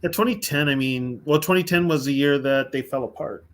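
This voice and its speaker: A man's voice: mid-range voice, brisk. A man co-hosting a casual live stream, chatty, laughing easily and talking to the viewers in the chat. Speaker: co-host of a fan podcast.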